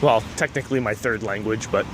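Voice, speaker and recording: A man's voice: lowish voice, a little fast. A young man from Canada talking casually while walking outdoors, rambling and laughing often. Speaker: vlogger. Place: city street outdoors. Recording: outdoor street noise. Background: street traffic.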